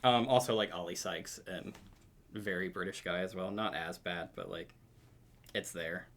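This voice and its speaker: Man, low voice, relaxed podcast chat, dry jokes, quick agreeing replies. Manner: easygoing, short agreeing replies, dry asides. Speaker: podcast co-host. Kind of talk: casual album review chat.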